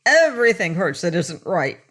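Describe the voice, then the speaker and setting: A woman's voice: lowish voice, big pitch swings, normal speed, a bit bright. A woman voicing a fussy, self-important little man who complains and wails. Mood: wailing. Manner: whining, fretful, wailing.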